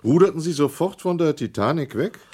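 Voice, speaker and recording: A man's voice: on the high side, animated, a little fast, a little mellow, slightly rough. An actor in a German radio documentary drama playing a stern, sceptical inquiry chairman who questions formally, with authority and challenge. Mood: sceptical, challenging.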